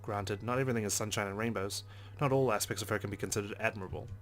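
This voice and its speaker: A man's voice: on the low side, fairly even intonation, very fast. A man reading visual novel narration aloud dramatically as a let's play host, with brief casual asides. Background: game background music.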